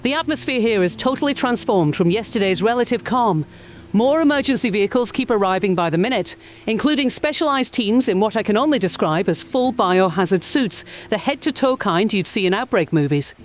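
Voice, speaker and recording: A woman's voice: mid-range voice, expressive, fairly quick, slight background noise, lightly acted. A woman playing an earnest news correspondent in a radio drama, giving a serious, articulate field report. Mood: urgent, serious.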